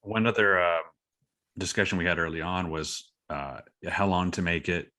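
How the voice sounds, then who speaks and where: A man's voice: low-pitched, moderate pace, pushed and bright. A man speaking as an interview guest, chatting casually and acting out past conversations as he explains. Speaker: podcast guest, independent creator. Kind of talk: casual anecdotal chat.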